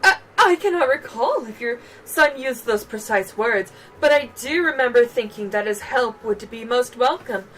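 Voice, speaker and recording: Woman as a high-voiced, refined noble lady. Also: expressive, fairly bright tone, rough recording. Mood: hopeful. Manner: genteel, flowery, measured. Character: gracious, refined, haughty noble lady collector. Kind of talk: video game dialogue read aloud.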